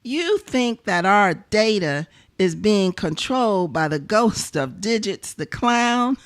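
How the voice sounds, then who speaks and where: A woman's voice: medium pitch, very animated, slow. A woman playing a dismissive, patronizing veteran of show business in a radio drama. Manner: patronizing, laughing it off.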